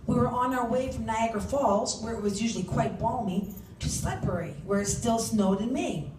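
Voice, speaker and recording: Female author reading own novel, higher-pitched, animated storyteller, recorded at a distance in an echoey room. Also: normal speed. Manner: animated, acts out shouted dialogue. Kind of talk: dramatic first-person story reading. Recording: roomy, distant mic, faint background noise.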